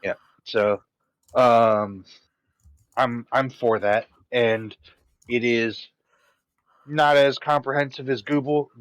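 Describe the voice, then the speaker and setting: A man's voice: medium pitch, fairly even intonation, slow pace, pauses often, smooth, dry recording. A man chatting casually on a stream, rambling and spelling words out letter by letter. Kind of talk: casual chat. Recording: dull lo-fi mic.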